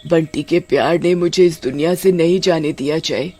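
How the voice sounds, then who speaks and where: A woman voicing a vengeful female ghost who speaks low and threatening. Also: normal speed, darkish tone. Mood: stern.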